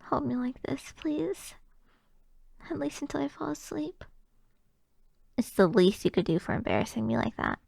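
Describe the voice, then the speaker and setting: A young woman playing a shy, affectionate girlfriend in an intimate ASMR roleplay, soft and hesitant, often slipping into whispers close to the microphone. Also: high-pitched, animated, moderate pace, creaky, pauses often, no echo, exaggerated voice. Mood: playfully pleading.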